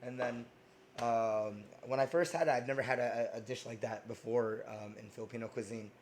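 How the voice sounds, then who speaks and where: A man with a hoarse voice hosting a casual cooking stream, chatting loosely with lots of ums and restarts. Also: medium pitch, fairly even intonation, brisk, a little hiss or hum. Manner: rambling, many ums and restarts.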